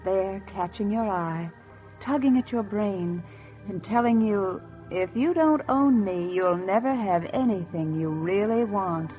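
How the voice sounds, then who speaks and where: An actress playing a wistful, embittered housewife in 1940s radio style, intense and melodramatic, repeating phrases for emphasis. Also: female voice, medium pitch, unhurried, close to an everyday voice. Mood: intense longing. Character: wistful, embittered housewife yearning for one luxury. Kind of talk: 1940s radio acting, dramatic monologue and dialogue. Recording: muffled 1940s radio recording with music.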